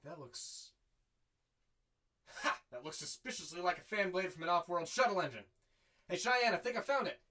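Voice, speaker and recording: A man's voice: sits high, expressive, moderate pace, long pauses, very noisy. A man playing a weary, wry desert courier who narrates his own adventure in an audio drama. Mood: excited. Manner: wry, self-talking. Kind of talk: first-person narration and amateur audio drama acting.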